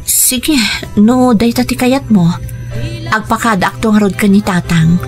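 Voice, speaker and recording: A woman playing a devoted, gentle young woman hoping to become a stepmother, in a radio drama. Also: mid-range voice, unhurried, soft background music, lightly acted. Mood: hesitant, devoted. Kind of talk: Ilocano radio drama acting.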